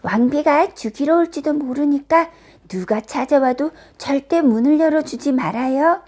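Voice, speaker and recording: A woman's voice: high-pitched, measured pace, mellow, pitched above the performer's own voice. A woman voicing anxious little dwarfs in a children's fairy tale, earnest and emphatic. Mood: urgent warning.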